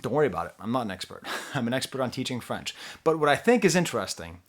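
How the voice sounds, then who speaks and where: A man reviewing an album straight to camera in an easygoing, off-the-cuff way. He rambles, pokes fun at himself and swings between excited bursts and mumbled asides. His voice sits at an ordinary middle pitch. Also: fast talker. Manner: animated, rambling, self-deprecating. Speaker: music reviewer.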